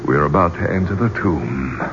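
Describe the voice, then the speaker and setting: An actor playing an obsessed, grandiose gentleman archaeologist with theatrical, rhetorical delivery in a 1970s radio drama. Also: rumbling low, expressive, moderate pace, over music. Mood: exultant. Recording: dull, noisy old radio recording.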